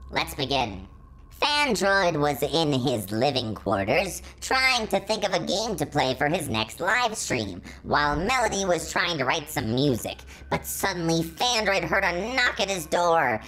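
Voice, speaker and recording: A woman's voice: low-pitched, very animated, measured pace, fairly bright tone, slight background noise, poor audio. A woman hosting a story reading, narrating fan fiction and adding her own commentary. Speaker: story reader, channel host.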